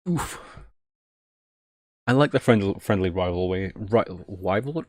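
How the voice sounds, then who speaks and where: Low-pitched man muttering on a livestream. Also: animated, measured pace, darkish tone, no echo, clean studio sound. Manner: mutters steps aloud, self-deprecating, jokey. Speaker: live streamer, host. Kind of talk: casual live tech stream chat, thinking aloud while typing.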